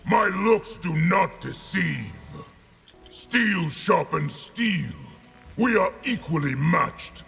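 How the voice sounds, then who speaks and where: A man voicing a proud, boastful warrior monster in a video game, with a rough, gravelly voice. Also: high-pitched, animated, very slow, a bit bright, soft background music, full character voice.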